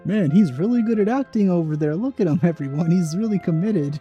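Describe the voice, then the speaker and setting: A man's voice: sits high, animated, brisk, muffled recording, faint music behind. A man reacting casually to a video game as a let's player, chatting over it.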